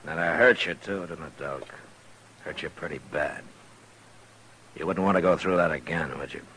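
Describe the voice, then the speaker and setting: An actor playing a tough, commanding American frontier marshal in 1950s western radio style, deliberate, blunt and dryly menacing. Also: male voice, a bass voice, sharp tone. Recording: noisy old radio recording.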